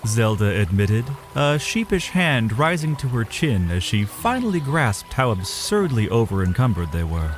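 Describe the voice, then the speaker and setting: A man's voice: on the low side, big pitch swings, normal speed, hardly any pauses, soft background music. A man narrating a scripted fantasy story as a literary, dramatic storyteller.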